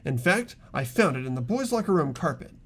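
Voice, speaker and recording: A man's voice: fairly high pitch, big pitch swings, brisk. A man playing an earnest teenage boy who reasons aloud tentatively in an amateur game dub. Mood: earnest, thoughtful.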